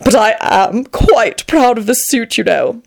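A woman voicing a flamboyant, melodramatic man who gushes endearments and gossip in a shrill, theatrical storybook manner. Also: expressive, unhurried, vocal fry, exaggerated voice. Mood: proud. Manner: effusive, melodramatic, swooning endearments.

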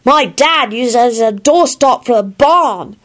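A woman voicing an excitable, self-important little boy in a storybook, bossy and eager. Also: on the high side, measured pace, voice pushed up.

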